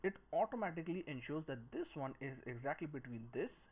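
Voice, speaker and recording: A man giving a step-by-step software tutorial, thinking aloud in short phrases as he works. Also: on the high side, sing-song, fairly quick, husky. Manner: speaks in short phrases while working. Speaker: 3D modelling tutor.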